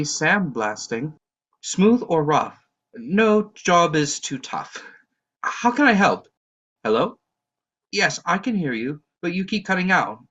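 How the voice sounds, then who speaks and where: Man acting a polite flustered lobby attendant, lively pitch. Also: lo-fi sound, heavily acted. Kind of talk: audio play acting.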